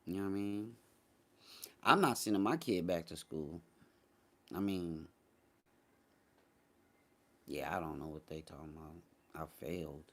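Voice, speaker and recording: Casting: American male livestream host, lowish voice, casual chat delivery, blunt and dismissive. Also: a little slow, creaky, long pauses, fairly bright tone. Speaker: host of a morning talk show.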